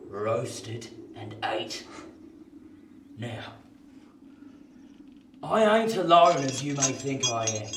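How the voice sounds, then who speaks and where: A man playing a rough, desperate escaped convict in a radio play, with an English accent and a threatening, commanding manner. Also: medium pitch. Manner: threatening, barking orders. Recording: echoey, distant, clearly noisy.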